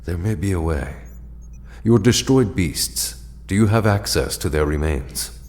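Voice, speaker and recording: A man playing a solemn, formal ancient ally in an audio drama, speaking in a measured, deliberate way. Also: low voice, lively intonation, darkish tone, in a large hall.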